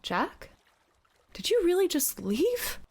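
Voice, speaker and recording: A woman's voice: very high voice, huge pitch swings, slow, a little mellow, heavily acted. An actress playing a sardonic, lovelorn woman aboard a tall ship in an audio drama, sarcastic and given to dramatics. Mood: melodramatic, forlorn.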